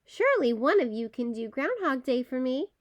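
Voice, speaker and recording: A woman voicing a frustrated, ailing male groundhog in a children's storybook, giving expressive instructions. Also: high-pitched, normal speed, muffled recording. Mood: eager. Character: sick, frustrated male groundhog seeking a stand-in.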